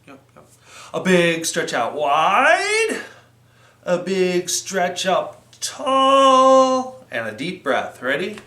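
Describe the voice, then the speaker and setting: A man's voice: high-pitched, sharp tone. A man hosting storytime for young children, encouraging and playful, giving simple, repeated instructions. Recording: roomy, echoing sound.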